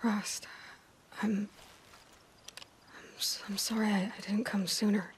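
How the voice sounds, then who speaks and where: An actress playing a grieving, resolute young outcast hunter in a video game, in a soft, breathy voice with halting, intimate phrasing. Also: female voice, mid-range voice, little pitch movement, a little hiss or hum, natural voice. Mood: grieving, tender. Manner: halting, hushed, speaking in short reflective phrases. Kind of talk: naturalistic video game acting, intimate monologue. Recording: music behind the voice. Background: game music.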